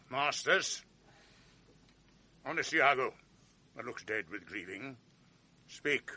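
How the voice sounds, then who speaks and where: An actor playing a proud, commanding general quick to rage in a radio drama, speaking in a declamatory way. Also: male voice, mid-range voice, noisy background. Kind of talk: 1980s radio drama acting.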